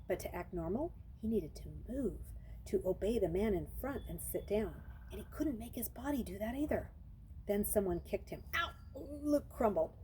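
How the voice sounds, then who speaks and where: A woman's voice: mid-range voice, dark tone, a little hiss or hum, low-quality recording. A woman reading a children's novel aloud, narrating expressively and dramatizing the story.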